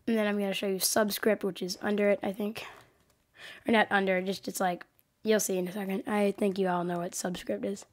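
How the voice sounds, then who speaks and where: A woman's voice: mid-range voice, little pitch movement, brisk. A young woman with a cold hosting a casual coding tutorial from her desk, hesitant and full of ums and self-corrections. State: has a cold, sniffling. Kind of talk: casual screen-recorded tutorial.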